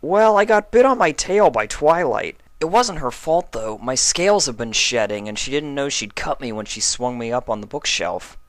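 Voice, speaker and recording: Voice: mid-range voice, lively intonation, moderate pace, few pauses, no room sound, pitched above the performer's own voice, lightly acted. A performer voicing a sheepish, earnest young male cartoon pony in a hesitant, boyish manner. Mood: confused, apologetic.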